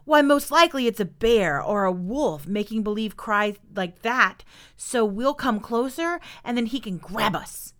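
A woman's voice: on the high side, expressive, measured pace, fairly bright tone. A woman voicing a cautious young beaver boy in a children's story, speaking firmly and emphatically. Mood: wary, refusing. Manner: firm, emphatic.

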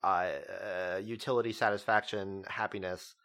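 A man's voice: low-pitched, steady tone, moderate pace, a bit bright. A man co-hosting a casual podcast, explaining ideas at length in a lecturing way. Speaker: podcast co-host.

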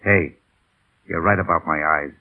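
An actor playing a loyal, excitable veteran pilot in 1940s radio drama, earnest and slangy with a husky voice. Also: male voice, sits low, measured pace. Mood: resigned. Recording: tinny, band-limited vintage radio broadcast.

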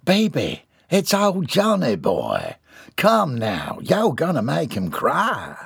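Man voicing rough jolly country father, drawling, gravelly, dry close sound. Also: medium pitch, wildly swooping pitch, a bit bright, no echo, heavily acted. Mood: amused. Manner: slow, easygoing country drawl.

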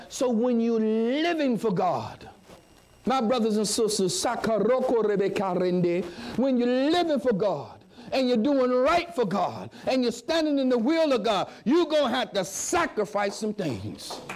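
High-pitched, animated male preacher. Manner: emphatic, repetitive, with call-and-response questions to listeners.

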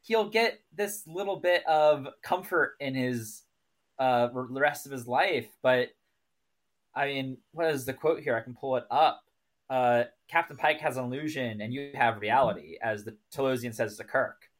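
Male podcast co-host, medium pitch, reflective analyst in casual conversation, rewording as he goes. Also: a little slow.

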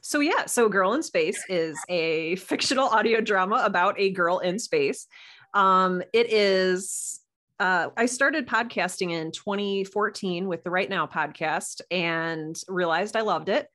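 A woman's voice: mid-range voice, measured pace, fairly bright tone. A woman chatting casually as a podcast guest, explaining things with enthusiasm. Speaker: podcast guest, writer and audio drama producer. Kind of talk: casual interview chat.

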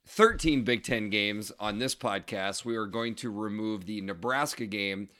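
This is a man co-hosting a casual sports podcast, his voice worn raspy and hoarse from screaming at a game. Despite the strain, the tone stays bright and forceful. He runs through scores and numbers, then eases into loose talk. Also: fairly low pitch, measured pace. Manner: reads scores and stats in a steady run, then chats. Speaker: podcast co-host.

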